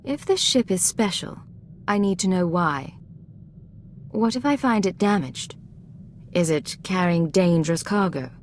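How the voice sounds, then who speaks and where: Low-voiced, cool female intelligence agent. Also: lively intonation, unhurried, long pauses, slight background noise. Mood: cool, probing. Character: adult female Imperial intelligence agent, cool, assertive, probing. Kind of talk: video game voice acting.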